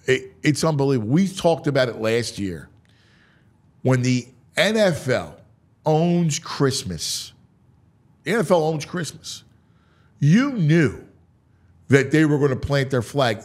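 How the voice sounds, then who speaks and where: Male sports host, slightly raised pitch, conversational and emphatic, casual talk-show delivery.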